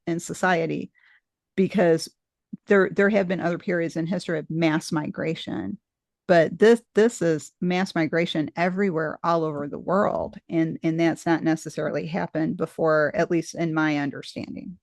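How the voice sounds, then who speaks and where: Host, middle-aged woman, gravelly low edge to the voice, dry close sound, musing and digressive. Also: a little mellow, no room sound. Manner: thoughtful and rambling, many restarts. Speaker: podcast host interviewing a guest. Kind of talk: casual conversational interview.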